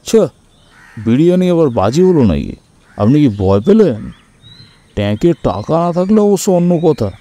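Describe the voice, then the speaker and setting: A man's voice: on the high side, very animated, moderate pace, pauses often, warm tone, pitched above the performer's own voice. A man voicing a brash middle-aged scrap dealer and gambler in a radio story, cocky and challenging. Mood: taunting. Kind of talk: radio audio-story acting.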